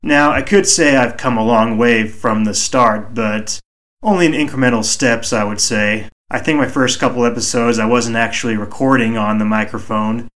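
Male solo podcast host, mid-pitched, soothing and measured, home mic. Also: fairly even intonation, a bit bright. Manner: measured, reflective, deliberately slow. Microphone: home microphone.

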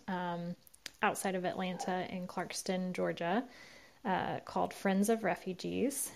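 Woman, nonprofit manager, relaxed interview talk, lots of ums. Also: mid-range voice. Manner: relaxed, many filler ums. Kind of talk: casual interview answer.

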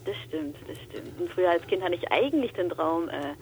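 A young woman from Franconia with a Franconian accent softened by Swabian traces, a guest voice actor chatting casually and eagerly, often laughing. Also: on the low side, lively intonation, a little fast, a little hiss or hum, poor audio. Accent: Franconian German with Swabian traces. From Franconia, Germany. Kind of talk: casual chat.

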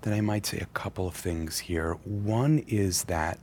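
A man's voice: sits low, a little slow, darkish tone, slight background noise. A man who is a rabbi, speaking as a podcast guest in an earnest, reflective way, with the occasional wry aside. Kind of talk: thoughtful interview conversation.

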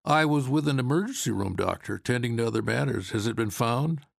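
A man's voice: medium pitch, a little fast, vocal fry, dry recording, studio quality, pitched above the performer's own voice. A man reading the dialogue of a weary, evasive architect under questioning in a story, defensive and sheepish.

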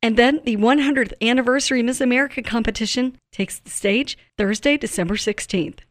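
A woman's voice: on the high side, fairly quick, no room sound. A woman hosting a radio show, presenting items and announcements to listeners.

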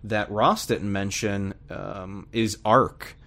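A man chatting casually as a podcast panellist, thinking aloud in a halting way. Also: lowish voice, steady tone, sharp tone. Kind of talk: casual podcast chat about video games.

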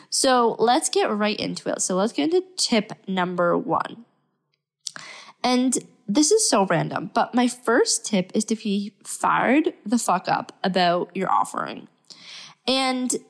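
A young woman hosting her podcast, chatting casually while sick and stuffy, upbeat and full of fillers. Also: mid-range voice. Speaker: podcast host. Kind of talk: casual solo podcast talk.

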